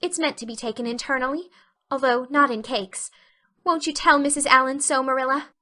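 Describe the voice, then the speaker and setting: A woman's voice: sits high, fairly quick, pushed and bright, voice pushed up. A woman voicing an effusive, dramatic orphan girl in an audiobook reading. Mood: tearful despair. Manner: effusive, melodramatic.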